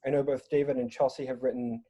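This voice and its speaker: Casting: man as panel moderator, soft dark husky voice, tentative phrasing, dull video-call sound. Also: medium pitch, level tone, quick pace. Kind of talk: casual moderated discussion.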